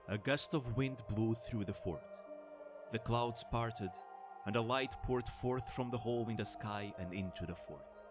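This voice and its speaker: A man narrating a scripted fantasy war story as the narrator of an audio drama. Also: medium pitch.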